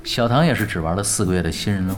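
A man's voice: deep voice, animated, racing, a little hiss or hum. A man playing a laid-back young pro gamer in a radio drama, dry, teasing and nonchalant. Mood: dry, casual. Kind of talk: Chinese radio drama acting. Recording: music behind the voice.

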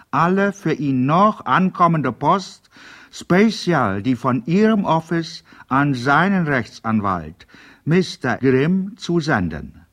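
Radio drama role: formal American hotel manager, American accent, raised pitch, slow and deliberate. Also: male voice, a bit bright, heavily acted. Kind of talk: radio play, business letter read aloud in character.

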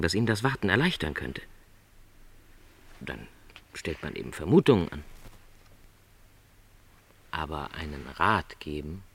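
An actor playing a gentle, lonely middle-aged travelling salesman in a literary radio drama, speaking in a measured, reflective, hesitant way. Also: deep voice. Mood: apologetic, careful.

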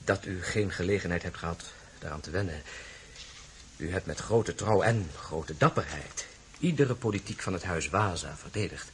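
An actor playing a commanding, impatient French prince and marshal in a radio play, urgent yet dignified and formal. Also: male voice, sits low, expressive, moderate pace, slight background noise. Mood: formal, solemn.

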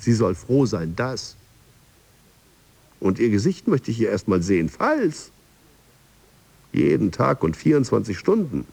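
An actor playing a stressed male office employee in coaching, with a husky, creaky voice, in a radio drama. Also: lowish voice, darkish tone, exaggerated voice. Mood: agitated. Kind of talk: radio drama monologue and chanted affirmations.

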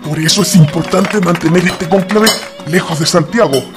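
An actor playing a genial but scheming military conspirator in a historical radio drama. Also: male voice, high-pitched, moderate pace, over music, natural voice. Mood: conspiratorial.

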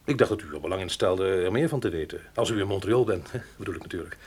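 Husky, pompous cultured intellectual. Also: male voice, low voice, lively intonation, fast, darkish tone. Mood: confiding. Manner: pompous, affected, hesitant hums. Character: pompous, cultured, talkative male intellectual with a shifty streak. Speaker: radio drama actor. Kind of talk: radio play dialogue.

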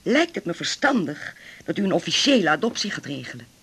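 An actor playing a discreet, pragmatic lawyer with a formal, measured way of talking, in a radio drama. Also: male voice, sits high, big pitch swings, a bit bright, breathy edge, heavily acted. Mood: businesslike. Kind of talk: naturalistic 1980s radio drama acting.

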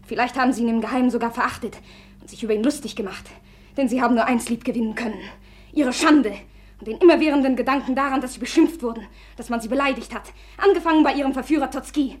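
A woman's voice: high voice, a little mellow, close to an everyday voice. An actress playing Aglaya, a proud and determined young woman, speaking in a commanding, cutting way in a 1950s radio play.

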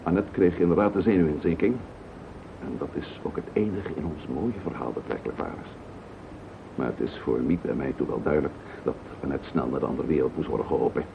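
Deep, gravelly, callous schemer. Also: male voice, a little fast. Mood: cold, matter-of-fact. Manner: cynical, blunt, cursing. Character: callous scheming wife-murderer confessing without remorse. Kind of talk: radio play acting. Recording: tinny, noisy old radio play recording.

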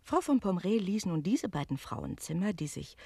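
An actress playing a worldly, teasing innkeeper's wife who tells stories expressively and confidingly in a German radio play. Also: female voice, fairly low pitch, sing-song, moderate pace, creaky, warm tone, breathy edge, dry recording, heavily acted. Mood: confiding, narrating.

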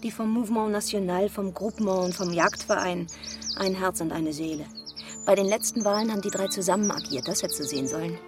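A woman plays an earnest, politically aware village mother in a radio thriller. Her medium-pitched voice is explanatory and slightly wry. Music can be clearly heard behind her. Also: a little fast, lightly acted. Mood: earnest, bitter. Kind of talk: German radio crime drama acting.

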